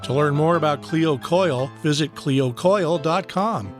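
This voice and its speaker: Guy doing podcast outro credits, voice a bit high, soft guitar music behind. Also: normal speed. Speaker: podcast announcer reading credits. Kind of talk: scripted outro read.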